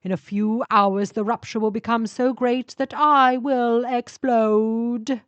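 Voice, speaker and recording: Woman voicing a slow, needy, menacing alien spaceship. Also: a little high, fairly even intonation, no room sound, lo-fi sound, voice pushed up. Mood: threatening, demanding. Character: needy, menacing sentient alien spaceship, male, pleading and indignant.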